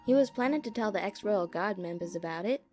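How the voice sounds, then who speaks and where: A woman's voice: medium pitch, lively intonation, quick pace, warm tone, faint music behind, natural voice. A woman playing a worried, motherly monster townswoman in an audio drama, speaking informally and a little hesitantly. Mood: troubled.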